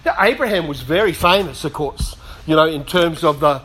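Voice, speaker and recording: A middle-aged man preaching a sermon in a conversational way, with humorous asides, slightly hoarse as he is losing his voice. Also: a little high, lively intonation, moderate pace, crowd noise, low-quality recording. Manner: conversational, self-deprecating humour, frequent asides. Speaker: pastor.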